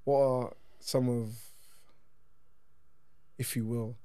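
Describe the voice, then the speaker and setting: A man's voice: mid-range voice, flat delivery, extremely slow, warm tone, clean tone, dry recording. Casual male podcast host, dry close sound. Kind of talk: casual conversational interviewing.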